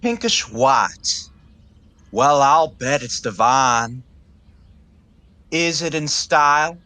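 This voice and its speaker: An earnest young suitor of the 1920s played by a man, genial and a little naive. His courteous, wooing speech is lively and swooping, recorded close and dry, in comic stage style. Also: medium pitch, drawn-out words, dry recording, exaggerated voice. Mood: smitten. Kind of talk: 1920s one-act stage comedy acting.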